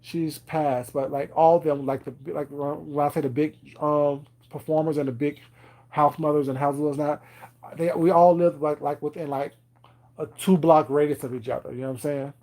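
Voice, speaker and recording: Casual stream host, a man in his thirties, slightly higher voice, rambling and teasing the chat. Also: steady tone, normal speed, mellow, low-quality recording. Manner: rambling, many fillers and "you know what I'm saying"; laughs often, teasing viewers. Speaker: live stream host chatting with viewers.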